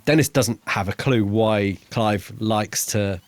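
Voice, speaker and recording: A man's voice: lowish voice, takes it slowly, dry recording. A man co-hosting a podcast, discussing a book casually in a tentative, reflective and agreeable way. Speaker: podcast co-host.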